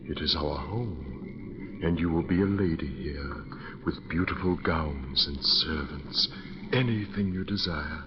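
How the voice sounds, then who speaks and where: A deathless, obsessive suitor from old-time radio horror. His voice is low and unhurried, with courtly, portentous phrasing that pleads and threatens. The vintage recording is muffled. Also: background noise. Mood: courtly, coaxing. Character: undying lovelorn male phantom suitor, courtly, menacing, obsessive.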